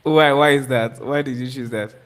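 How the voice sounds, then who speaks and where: Halting man hosting a live interview. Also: medium pitch, fairly even intonation. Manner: halting, repeats words and restarts. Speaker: host of a live stream. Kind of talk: interviewing a guest. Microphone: video call.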